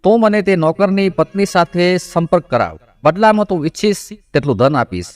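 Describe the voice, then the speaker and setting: A man's voice: high-pitched, animated, brisk, no echo. A man voicing a sly, demanding royal minister in a folk story.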